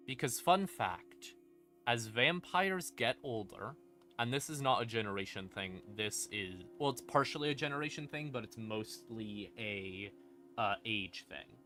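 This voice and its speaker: A man talks through game lore on a livestream at an unhurried pace. His voice is bright and forward, his manner playful and conversational with dramatic asides. Creepy ambient music plays quietly underneath. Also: medium pitch, expressive. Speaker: streamer explaining game lore. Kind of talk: casual livestream lore explainer.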